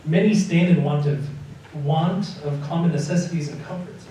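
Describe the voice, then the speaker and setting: Formal, earnest charity gentleman played by a man, slightly high voice, radio play, very roomy live recording. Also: normal speed, lightly acted. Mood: courteous, earnest. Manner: courteous, formal. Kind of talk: live radio drama acting. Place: live community theatre performance. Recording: roomy live stage, distant mic, faint noise.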